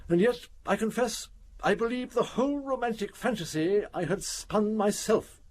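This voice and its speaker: An actor playing a pompous, learned, sceptical British doctor in a radio drama, speaking formally and precisely. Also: male voice, high voice, moderate pace. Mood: humbled.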